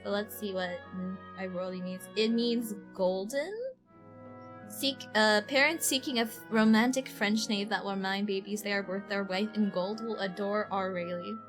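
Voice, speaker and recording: A woman livestreamer chatting casually and slangily as she plays a game, rambling along in a giggly, animated way. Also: mid-range voice, moderate pace. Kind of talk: casual livestream chat while playing a game. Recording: game music behind the voice. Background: video game music.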